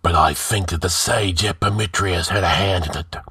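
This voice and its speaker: A man voicing a gruff, wrathful barbarian king with a growling, blunt delivery in a fantasy audiobook. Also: sits low, normal speed, pushed and bright, no room sound, clean studio sound, full character voice. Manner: grunting, blunt, imperious.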